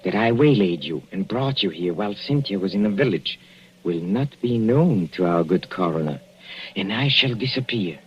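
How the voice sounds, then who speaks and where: An actor playing a sadistic middle-aged professor with a Central European accent, mocking and chuckling with purring menace in a 1940s radio play. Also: male voice, fairly low pitch, animated, moderate pace, close to an everyday voice. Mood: gloating. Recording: lo-fi vintage radio recording, faint hiss.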